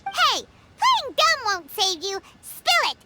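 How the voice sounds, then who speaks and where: A woman voicing a tiny, excitable, bossy childlike fairy companion in a video game. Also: very high-pitched, slow, noisy background, exaggerated voice. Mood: alarmed, scolding. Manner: exclaiming, speaks of herself in third person. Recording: game music behind the voice.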